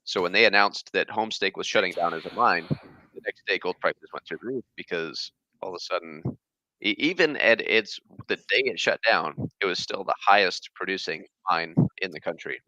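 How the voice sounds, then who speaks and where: Rambling male guest, 30s, very deep but bright tone, dry, slightly dull mic. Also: moderate pace, no room sound. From born in Germany, raised across the US. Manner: rambling, many fillers and restarts. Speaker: guest, fish channel creator. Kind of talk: casual interview chat.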